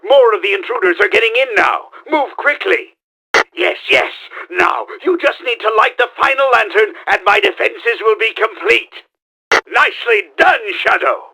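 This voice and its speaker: A man playing a pompous, scheming middle-aged mad-scientist villain in a video game, with a gravelly, forceful, theatrical delivery. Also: high voice, animated, moderate pace, heavily acted. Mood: commanding. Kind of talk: exaggerated video game voice acting.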